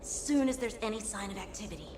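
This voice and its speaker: A woman voicing a cocky, excitable, earnest boy swordsman in a video game, with a dramatic delivery. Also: mid-range voice, quick pace, heavy background noise, close to an everyday voice. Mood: hushed, urgent. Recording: roomy echo with music behind.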